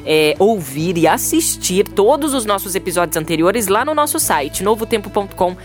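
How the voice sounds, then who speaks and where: A woman's voice: mid-range voice, big pitch swings, moderate pace, faint music behind. A woman hosting a radio podcast, chatting casually and cheerfully about the Bible. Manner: cheerful, chatty, laughs easily. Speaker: radio show host.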